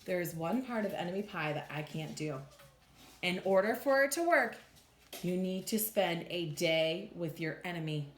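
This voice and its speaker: A woman voicing a calm, secretive father in a children's storybook, deliberate and instructive. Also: lowish voice, close to an everyday voice. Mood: quietly serious. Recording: roomy echo with faint background noise.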